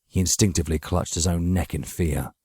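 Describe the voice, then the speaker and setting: A man's voice: sits low, fairly even intonation, fairly quick, mellow, husky, no room sound, clean studio sound. A man narrating a dramatic story from a script as an audiobook reader.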